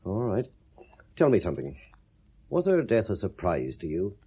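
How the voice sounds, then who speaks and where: An actor in a 1970s British radio drama playing a sceptical police inspector, blunt, wry and insistent, with a British accent. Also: male voice, sits low, wildly swooping pitch, moderate pace, warm tone, breathy edge, exaggerated voice. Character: sceptical, probing male police detective inspector.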